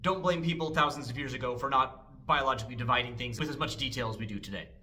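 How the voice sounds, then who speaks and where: A man hosting a casual language lesson, explaining things with wry jokes and lively asides. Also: mid-range voice, fast, a little hiss or hum.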